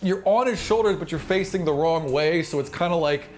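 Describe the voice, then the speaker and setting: A man narrating a tabletop fantasy game as game master, improvising in an animated way with spoken sound effects. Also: a little high, a little fast, a little hiss or hum.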